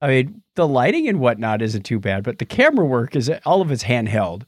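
A man's voice: mid-range voice, sing-song, fairly quick, dry recording, clean studio sound. A middle-aged man co-hosting a podcast in casual banter, with a teasing, wisecracking manner. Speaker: podcast co-host.

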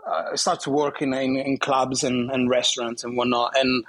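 A man in his thirties from southern Italy speaks English with an Italian accent, his voice husky and slightly breathy. He talks casually in an interview, stopping and restarting often and laughing lightly. His pitch sits in an ordinary middle range. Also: flat delivery, fairly bright tone. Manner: halting, many restarts and fillers, laughs often. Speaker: guest bartender.

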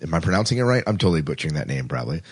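A man's voice: a bass voice, very animated, racing, breathy edge. A man hosting a podcast, chatty and rambling, reading out news items in a casual, self-deprecating way. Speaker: podcast host.

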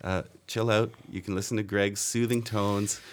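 A man's voice: on the low side, level tone, moderate pace. A man hosting a casual podcast, bantering and teasing his co-hosts. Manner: jokey, upbeat banter. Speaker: podcast host.

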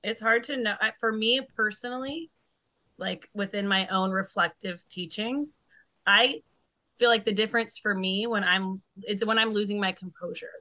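A woman's voice: mid-range voice, normal speed, bright, forceful tone. A woman teacher speaking earnestly and reflectively in a casual chat. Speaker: teacher, cohost.

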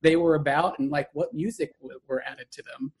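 A man hosting an interview in a relaxed, conversational way, often using "like" and "you know". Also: on the high side, normal speed, a little hiss or hum. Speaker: host. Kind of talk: casual interview chat.

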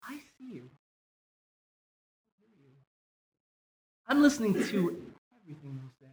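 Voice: mid-range voice, very animated, fairly quick, slight background noise, close to an everyday voice. An actor playing a clingy discount-deal service as a boyfriend, with theatrical, pleading sales patter in a radio-style comedy sketch. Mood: eager, salesy. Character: Groupon, clingy salesman boyfriend turning gleefully villainous. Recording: live, unedited stage performance.

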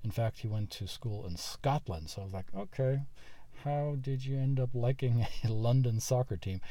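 A man's voice: medium pitch, moderate pace, warm tone, dry recording. A male podcast host whose first language is German, chatting casually and telling a story with self-deprecating asides. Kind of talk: conversational podcast interview.

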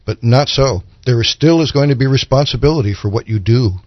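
A man's voice: mid-range voice, a little fast, no room sound. Male Bible commentator, dry, slightly muffled audio. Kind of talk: explanatory Bible discussion on radio. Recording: slightly dull, band-limited radio sound.

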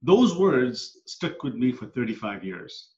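A middle-aged man, guest on a podcast, talks through his past in an earnest, reflective way. His voice sits at an ordinary pitch. It echoes in a roomy space and comes through dull and lo-fi. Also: sing-song, a little slow, a little mellow. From Canada, moved there at ten. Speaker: guest, coach and speaker. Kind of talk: storytelling in a podcast interview. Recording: echoey room, dull lo-fi sound.

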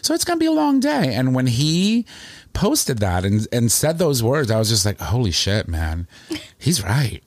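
A man hosting a podcast in casual chat, animated and quick to laugh. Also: mid-range voice, no room sound. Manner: animated, chatty, laughs often. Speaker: podcast host.

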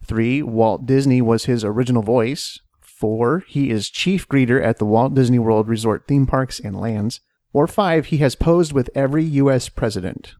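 A man reading out trivia questions slowly and deliberately during a podcast game. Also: mid-range voice, dark tone, no room sound, studio quality.

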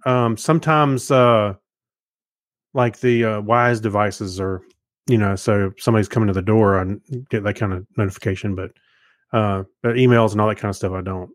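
A man's voice: on the low side, fairly even intonation, normal speed, no room sound, studio quality. A middle-aged man chatting casually as a podcast co-host, telling things in a rambling, good-humored way and quoting his own thoughts. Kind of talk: casual chat.

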